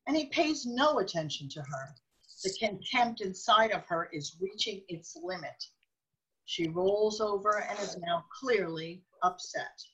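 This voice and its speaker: A woman reading scripted narration for a radio drama in a measured storytelling manner. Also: medium pitch, fairly bright tone, slightly rough, rough recording.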